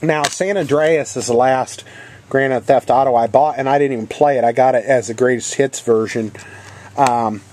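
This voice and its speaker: A man's voice: mid-range voice, fairly even intonation, darkish tone, a little hiss or hum. Congested man rambling through a vlog. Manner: rambling, halting, many ums and uhs. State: congested with a head cold; coughing now and then. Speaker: channel host. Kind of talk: casual video game haul vlog.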